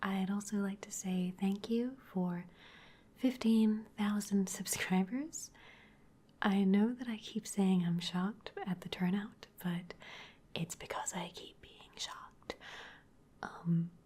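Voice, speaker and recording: A woman whispers gentle thanks as an ASMR creator. Her tone is soft, airy and dark, and she speaks at an unhurried pace. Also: mid-range voice, fairly even intonation, breathy edge. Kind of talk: ASMR outro.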